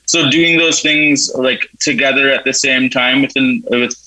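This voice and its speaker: A man in his thirties from Canada talks eagerly as an interview guest, full of "like" and quick laughs. His voice is bright and forceful, and it sounds dry and close. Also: medium pitch, fairly even intonation, dry recording, low-quality recording. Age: 30s. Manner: eager, chatty, laughs often, lots of "like". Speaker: guest, writer and producer. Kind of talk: casual interview answers.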